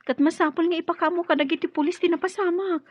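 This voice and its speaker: An actress playing a protective, earnest younger sister in an audio drama. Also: female voice, extremely high pitch, steady tone, quick pace. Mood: worried.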